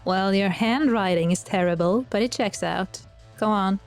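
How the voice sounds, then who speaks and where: A woman's voice: medium pitch, moderate pace, faint music behind, natural voice. A woman voicing a bored, scornful flaming sword that guards a gate, with snarky exclamations, in an audio drama.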